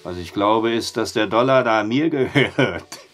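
A man's voice: fairly low pitch, a little slow, fairly bright tone, soft background music. An actor playing a dry, skeptical male barkeeper in an audio drama. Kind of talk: German audio drama acting.

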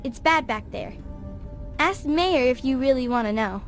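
Imperious little girl, video game, adult woman acting; high, snappy, bossy, faint music behind. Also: expressive, measured pace. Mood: haughty, scolding. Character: haughty, bossy young girl who calls shots.

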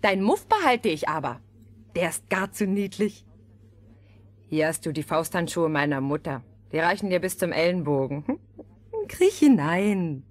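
A wild young robber girl orders and teases in a fairy-tale record play. Her voice is fairly low and very animated in pitch. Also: a little slow. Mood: teasing. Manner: bossy, teasing. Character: wild robber girl, bossy, teasing, rough-hearted. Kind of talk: radio play acting.

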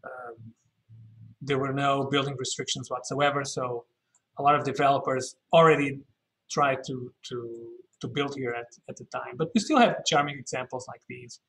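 A man's voice: medium pitch, steady tone, moderate pace, fairly bright tone, low-quality recording. A man guiding an informal virtual walking tour, thinking aloud and often correcting himself as he explains. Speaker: architectural tour guide.

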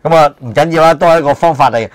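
A man's voice: fairly high pitch, very fast, dry recording, poor audio. An older man with a Hong Kong accent hosting a casual chat and reminiscing in a small indoor room. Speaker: talk show host.

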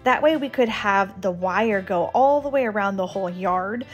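A woman's voice: mid-range voice, normal speed, dull audio, faint music behind. A woman in her thirties narrating a home renovation vlog in a chatty, enthusiastic way.

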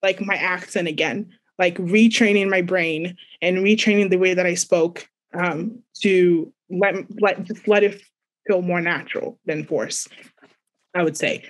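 A woman's voice: on the low side, fairly even intonation, measured pace, low-quality recording. Young woman from Nigeria, light Nigerian accent, panelist on a video call, hesitant and searching for words. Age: young adult. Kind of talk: personal story on a panel.

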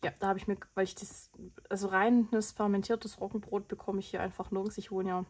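A woman's voice: mid-range voice, flat delivery, brisk, a little mellow, slightly rough. A woman with a cold hosting a budgeting vlog, chatting casually and rambling through her expenses with lots of fillers. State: has a cold. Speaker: budgeting channel host.

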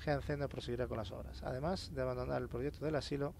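Gravelly Spanish man doing game commentary. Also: mid-range voice, steady tone, quick pace, a little mellow, slight background noise. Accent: Castilian Spanish. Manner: informal, sweary, grumbling to himself. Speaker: let's play host. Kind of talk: casual gameplay commentary, reads in-game notes aloud.

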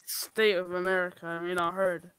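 A woman's voice: on the low side, level tone, normal speed, fairly bright tone, clean tone, no room sound. A woman teasing her friends in casual chat on a game stream. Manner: teasing, dry.

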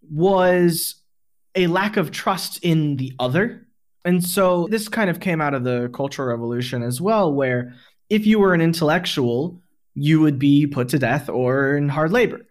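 Man, podcast host, fairly high voice, conversational, explanatory. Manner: explanatory, talks at length.

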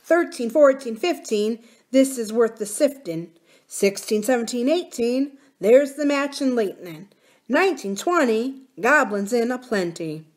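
A woman's voice: on the high side, expressive, a little slow, pitched above the performer's own voice. A woman voicing a merry, defiant miner boy in a sing-song rhyme from a storybook. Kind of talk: sing-song counting chant.

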